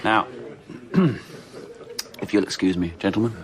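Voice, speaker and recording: An actor playing a bitter, indignant young upper-class Victorian gentleman with a clipped English accent in a radio drama. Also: sits low, steady tone, measured pace, background noise. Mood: scornful.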